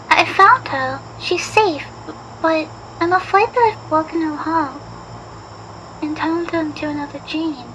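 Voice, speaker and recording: A woman's voice: very high-pitched, fairly bright tone, a little hiss or hum, poor audio. A woman playing a timid, sweet teenage magical girl in an audio drama, speaking hesitantly and apologetically.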